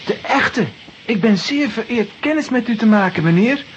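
A woman's voice: a little high, animated, normal speed, lightly acted. A woman playing a spirited, bossy young girl in a children's audio play, with emphatic, theatrical delivery. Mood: polite, admiring. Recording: dull, noisy old audio play recording.

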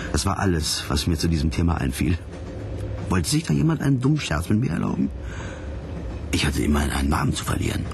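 Tiefer, abgeklärter Pop-Detektiv, leichtes Rauschen. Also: male voice, sits low, noisy background. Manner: dry, wry, self-important storytelling. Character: jaded, self-important male pop detective recalling old cases. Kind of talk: hard-boiled first-person radio play narration.

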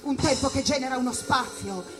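An actress as a haunted, solitary high-voiced woman spirit. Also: fairly quick, lightly acted. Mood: agitated, proud. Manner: incantatory, repeating phrases, swinging from hush to cries. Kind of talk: theatrical monologue in an Italian radio play. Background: live jazz percussion and music.

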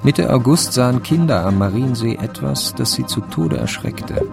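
A man narrating a literary crime story for a radio drama in a creaky voice. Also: mid-range voice, animated, normal speed, over music.

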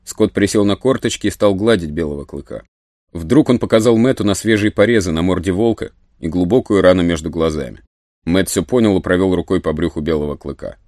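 A man's voice: low voice, fairly quick, darkish tone, dry recording, studio quality. A man narrating a story as a scripted dramatic audiobook. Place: studio.

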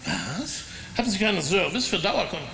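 Radio-drama client: officious, indignant man, higher-pitched with a creaky edge, roomy sound. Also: huge pitch swings, moderate pace, sharp tone, full character voice. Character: irritated, fussy male client and landlord of a detective. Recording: roomy, noisy drama scene with ambience.

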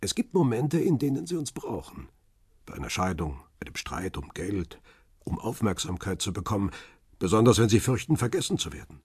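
A man's voice: fairly low pitch, very animated, moderate pace, a little mellow, husky, no room sound, studio quality, full character voice. An actor playing a world-weary middle-aged paparazzo in a crime radio play, reflective and understated as he tells his story. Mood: defensive, frank. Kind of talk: radio drama, first-person narration and dialogue.

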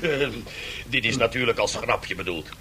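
An actor playing a pompous, dogmatic Soviet official who is easily flustered, in radio drama style. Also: male voice, medium pitch, animated, normal speed, bright, forceful tone, a little hiss or hum. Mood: jovial. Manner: pompous, sloganeering. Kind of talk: 1970s Dutch radio drama acting.

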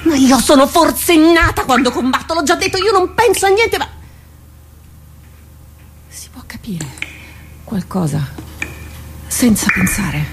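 A woman playing a tormented, haunted warrior captain in a radio drama, halting and brooding, repeating herself. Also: sits high, very animated, heavily acted. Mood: defensive, agitated. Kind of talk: theatrical radio drama acting. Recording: noisy radio drama recording with clicks.

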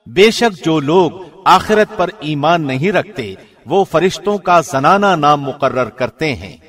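Man, slightly high voice, formal radio-style reading, measured scripture translation. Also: expressive, a bit bright. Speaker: translation reader, radio host.

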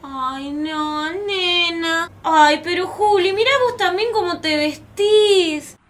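Radio drama role: actress as fretting Argentine mother, drawn-out delivery, extremely high voice. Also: a bit bright, slight background noise, exaggerated voice. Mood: dismayed, reproachful. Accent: Rioplatense Argentine Spanish.

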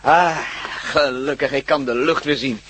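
An actor playing a fearful pilot in a radio play, nervous and eager to get away. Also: male voice, mid-range voice, fairly even intonation, a little slow, lightly acted. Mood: relieved. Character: fearful male pilot Fernandes, jumpy. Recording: noisy old radio play recording.

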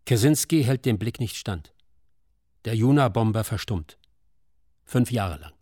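A male narrator with a medium-pitched voice reads scripted documentary drama. His delivery is sober and clipped, and the studio sound is dry and close to echo-free. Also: measured pace, darkish tone.

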